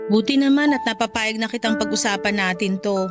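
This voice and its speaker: A woman's voice: on the high side, brisk, music in the background, lightly acted. A woman playing a jealous, insecure young wife in an emotional, argumentative radio drama scene. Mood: frustrated pleading. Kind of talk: radio drama dialogue acting.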